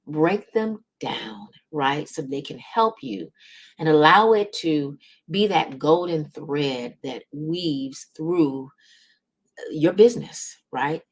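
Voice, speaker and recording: Southern us lady w a low voice doing a motivational biz livestream, folksy n direct, really encouraging. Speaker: host, business strategist and coach.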